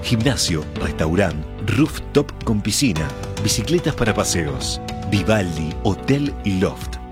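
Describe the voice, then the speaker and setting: A man reading a radio ad in a smooth promotional delivery. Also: lowish voice, sing-song, slow. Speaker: ad announcer. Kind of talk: radio ad read. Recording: music clearly behind.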